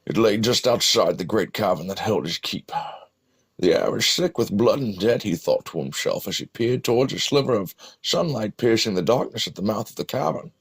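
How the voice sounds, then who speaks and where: An old Scottish screen legend with a gravelly, swooping voice, telling a fantasy battle tale for a game. He is wryly amused, halting as he goes and muttering asides between the grand lines. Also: lowish voice, animated. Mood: wry, dramatic. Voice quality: husky, gravelly. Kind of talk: mock-epic storytelling for a video game.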